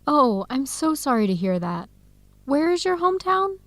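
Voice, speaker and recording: A friendly, earnest young woman researcher in a radio drama. Her voice is high and very expressive, with a chatty, inquisitive manner. The recording is close and dry. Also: moderate pace, dry recording, full character voice. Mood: curious, friendly. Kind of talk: educational radio drama acting.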